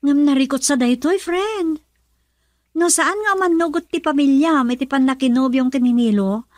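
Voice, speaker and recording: A woman's voice: high-pitched, moderate pace, no echo, clean studio sound, natural voice. An actress with an Ilocano accent playing an earnest woman in a radio love drama. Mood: emotional, troubled.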